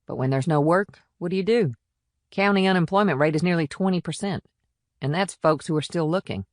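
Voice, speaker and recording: A man's voice: fairly high pitch, brisk, dry recording, pitched above the performer's own voice, close to an everyday voice. A firm small-town policewoman, acted out by a male narrator in a crime audiobook. The delivery is plainspoken and matter-of-fact, with a protective edge toward the locals. The sound is dry, with very little room. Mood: defensive. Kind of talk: audiobook character dialogue.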